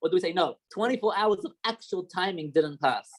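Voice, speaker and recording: A man's voice: sits high, expressive, a little fast. A young man with a light Australian accent, a rabbi teaching an informal class and explaining step by step with questions.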